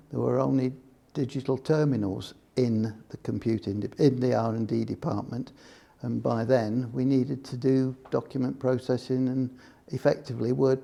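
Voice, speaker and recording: An older man from Scotland recounting his working life in an oral history interview, measured and explanatory, often restarting his sentences. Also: mid-range voice, gravelly, mellow. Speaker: interviewee, former computing employee.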